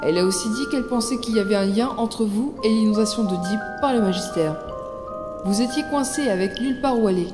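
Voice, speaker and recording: An actress playing a stern, protective, resolute mother who speaks firmly and deliberately in an audio drama. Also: on the high side, echoey room, long reverb tail, over music, lightly acted. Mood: reproachful. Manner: deliberate, firm. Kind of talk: French audio drama acting.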